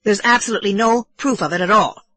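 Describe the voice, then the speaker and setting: A woman's voice: medium pitch, fast, no echo, voice pushed up, natural voice. A woman voicing an irritable middle-aged man who is ill, questioning sharply. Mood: agitated. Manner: sharp, interrogating.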